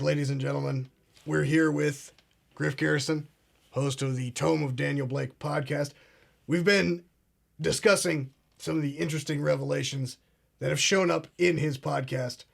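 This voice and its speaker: Brief: a man doing a pompous conspiracy show host, gravelly and husky, a bit high. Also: steady tone, moderate pace. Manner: grandiose, ominous, addresses "ladies and gentlemen". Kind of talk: comedic mock talk show.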